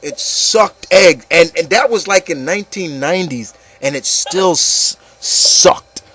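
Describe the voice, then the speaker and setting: A man's voice: fairly high pitch, lively intonation, unhurried, a bit bright, breathy edge. A man hosting an opinion show in a casual, incredulous rant, repeating words for emphasis and swearing. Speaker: host of an opinion show on video games.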